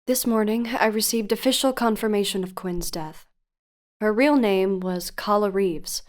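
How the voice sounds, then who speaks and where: A woman acting a composed investigative podcast host in an audio drama. She speaks at an average pitch, measured and earnest. Her narration is frank and carefully phrased. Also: lightly acted. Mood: solemn.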